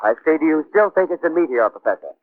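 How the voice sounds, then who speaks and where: A man acting as an excitable on-the-scene radio reporter from a 1930s drama. His voice sits fairly high, with formal wording and hesitant searches for the right phrase. The sound is very thin and boxy, like an old radio set. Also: fast, lightly acted. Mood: urgent, intrigued. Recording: tinny band-limited 1930s radio broadcast.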